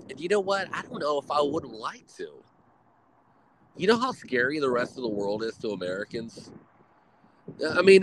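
A man's voice: medium pitch, slight background noise, rough recording. A man hosting a casual live stream, rambling and swearing freely as he chats with viewers. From Texas, US. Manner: rambling, profane, animated storytelling. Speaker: streamer host.

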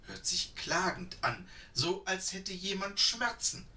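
A man's voice: high voice, big pitch swings, slow, sharp tone, echoey room, recorded from a distance, pitched above the performer's own voice, full character voice. A man voicing a tough, cheerful young woman hiker with an upbeat, encouraging manner.

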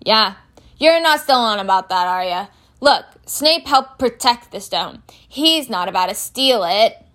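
Big groundskeeper man played by a woman, storybook character, proud, hearty, colloquial, high-set voice. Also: lively intonation, unhurried, a bit bright. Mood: proud, chatty. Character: big bearded groundskeeper man, proud, secretive but easily flattered.

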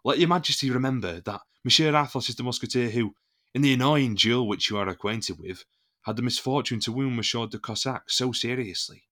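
An actor playing a proud, indignant musketeer captain in an audio drama, formal and oratorical. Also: male voice, medium pitch, fairly quick, sharp tone, close to an everyday voice. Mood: pointed, courtly.

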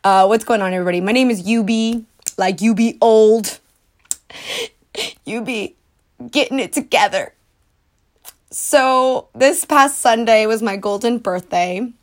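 A woman's voice: medium pitch, a little slow, pauses often. A woman in her twenties hosting a solo podcast, talking in a casual, dramatic, self-mocking way with frequent giggles. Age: 20s. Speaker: podcast host.

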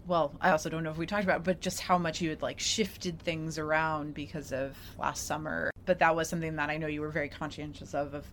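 Low-voiced woman podcast host, noisy background. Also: little pitch movement, fast, few pauses. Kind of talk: casual, conversational interviewing. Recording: clear background noise.

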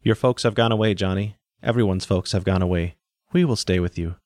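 Man voicing a gentle, calm robot caretaker. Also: low-pitched, dry recording, clean studio sound, close to an everyday voice. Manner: gentle, measured.

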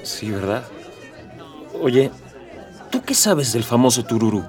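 A folksy Mexican everyman hero from a radio play. He is polite, colloquial and a little hesitant, with a lively melody to his lines and light music behind him. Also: medium pitch, takes it slowly, distant mic, some chatter behind. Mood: flirtatious. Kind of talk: scripted radio drama acting.

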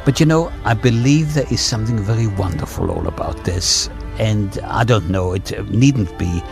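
Gravelly older man, low and reflective. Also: animated, over music. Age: 70s. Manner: reflective and measured, with frequent pauses. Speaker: interviewee. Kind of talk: personal testimony in a radio interview.

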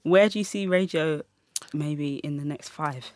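Man interviewing, slightly high voice. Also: a little slow, darkish tone, dry recording. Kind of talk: casual interview questions.

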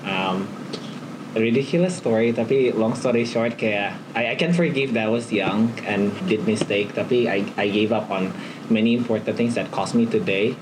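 A man in his late twenties reflecting candidly and haltingly, switching between Indonesian and English. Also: on the low side. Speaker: panel participant, entrepreneur. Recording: clear background noise.

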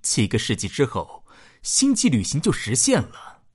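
A man's voice: medium pitch, wildly swooping pitch, moderate pace, breathy edge. A man playing an insistent, alarmed space-fleet data analyst in a science-fiction radio drama.

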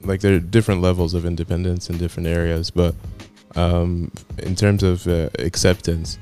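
A man in his twenties, a podcast guest telling his story in a laid-back, chatty way with soft laughs. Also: low voice, little pitch movement, moderate pace, faint music behind. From United States. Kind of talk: casual chat.

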